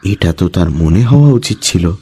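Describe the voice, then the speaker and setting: A man's voice: low voice, expressive, normal speed, mellow, husky, faint music behind. A man narrating a scripted suspense story as its storyteller.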